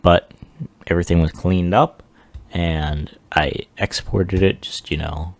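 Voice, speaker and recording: A man giving a casual software demo walkthrough, offhand and self-deprecating as he thinks aloud. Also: sits low, steady tone, slow, vocal fry, no echo. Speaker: 3D artist presenting a project.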